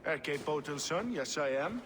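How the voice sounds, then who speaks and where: An actor playing a blunt, wary and sardonic reeve of old London in a video game. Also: male voice, fairly high pitch, animated, a little slow, a bit bright. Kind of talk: video game cutscene acting. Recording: long hall reverb, faint noise.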